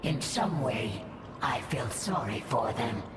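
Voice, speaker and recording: An actress playing an obedient, predatory alien broodmother in a video game, formal and deliberate. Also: rumbling low, expressive, heavy background noise, full character voice. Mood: cold musing. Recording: distant, echoey, music over voice.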